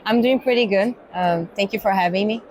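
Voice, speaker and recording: A woman's voice: medium pitch, brisk, darkish tone, slight background noise. A woman from Brazil with a Brazilian Portuguese accent, answering interview questions as a cargo executive. She speaks thoughtfully, with many fillers and a habit of ending points with "right?". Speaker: guest, pharma cargo executive. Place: exhibition hall.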